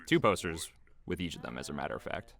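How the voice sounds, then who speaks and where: A man's voice: very deep voice, big pitch swings, fast, vocal fry, fairly bright tone. A man giving casual, unscripted behind-the-scenes commentary in a rambling, hesitant way.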